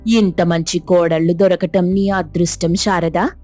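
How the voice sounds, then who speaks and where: A woman's voice: lowish voice, steady tone, a little slow, warm tone, faint music behind, natural voice. A woman voicing a concerned, fussing neighbour who sells snacks, in a dramatized cartoon story. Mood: sympathetic.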